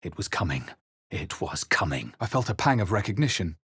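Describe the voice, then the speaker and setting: A man voicing a brooding, wry fantasy adventurer in a video game, measured and dramatic with a husky voice. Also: deep voice, sing-song, a bit bright, no room sound, studio quality, heavily acted. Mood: grave narration. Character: male fantasy adventurer hero, brooding, wry, casting spells. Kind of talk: video game voice lines and narration.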